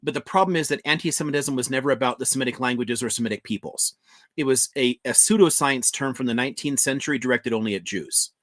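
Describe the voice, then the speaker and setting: A man's voice: mid-range voice, quick pace, fairly bright tone. Thoughtful male stream host. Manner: thoughtful, restarts often, advising. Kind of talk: live stream discussion.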